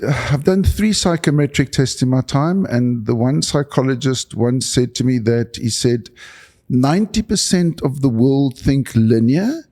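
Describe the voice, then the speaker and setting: A South African leadership coach talking on a podcast, building his points with fillers and restarts. His voice is dark and mellow, at a middle pitch. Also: a little slow. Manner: explanatory, with many fillers and restarts. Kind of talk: podcast interview.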